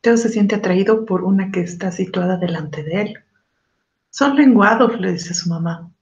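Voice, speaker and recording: A woman's voice: medium pitch, normal speed, a little mellow. A woman reading a children's storybook aloud in the manner of an elementary school teacher. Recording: dull echoey room recording.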